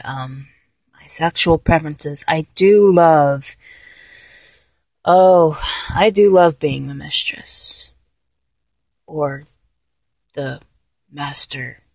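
A freshly transformed young man, voiced by a solo performer, rambles in a slow, stammering way and keeps breaking into giggles. His voice is mid-pitched and unhurried. The recording is dry and close. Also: creaky, darkish tone, no echo, exaggerated voice. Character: man just transformed from a woman, giddy, awkward. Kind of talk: solo audio roleplay.

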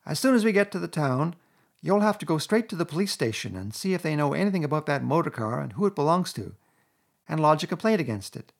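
A man voicing a stern, sensible male water rat who talks firmly and with exasperation, in a husky voice. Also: a little high, big pitch swings, pitched above the performer's own voice, full character voice. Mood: exasperated. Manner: firm, brisk, exasperated.

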